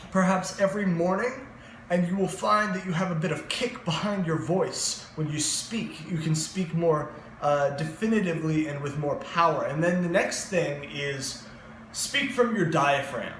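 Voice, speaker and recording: A young man gives casual advice straight to camera in a voice that sits a bit high for a man. He is very expressive, his pitch and loudness swinging as he goes, with plenty of fillers and restarts. The room around him is noticeably echoey. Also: unhurried, slight background noise. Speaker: vlogger giving voice advice.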